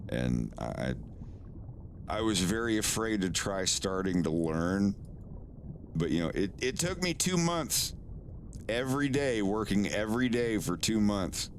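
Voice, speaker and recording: Deep, slow-talking male streamer. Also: slight background noise. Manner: relaxed, stammers and repeats words, swears casually. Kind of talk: casual live-stream chat while doing language lessons.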